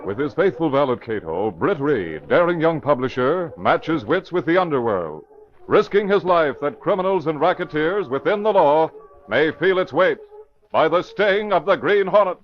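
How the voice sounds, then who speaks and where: A man announcing credits and narrating an old radio drama with dramatic emphasis. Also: a little high. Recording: muffled old radio broadcast with hiss.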